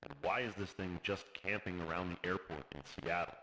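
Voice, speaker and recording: Man acting as exasperated male president, commanding, deep low voice, horror animation. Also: steady tone, fairly quick, pushed and bright, poor audio. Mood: angry, demanding.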